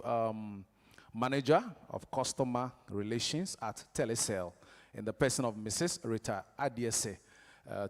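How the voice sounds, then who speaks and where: A man emcees a launch event, announcing speakers and urging the crowd to clap. His voice sits in the middle range and carries a long reverb off a large hall. He speaks with emphatic, rousing energy. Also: medium pitch. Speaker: master of ceremonies.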